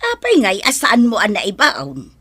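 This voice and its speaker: A woman's voice: mid-range voice, wildly swooping pitch, measured pace, fairly bright tone, slightly rough, exaggerated voice. A woman with an Ilocano accent playing an outspoken, questioning young woman in an audio drama. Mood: nagging.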